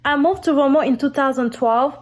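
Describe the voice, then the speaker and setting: A woman from the Democratic Republic of the Congo and a community outreach worker, giving a steady, scripted video introduction. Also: sits high, a little fast, faint music behind.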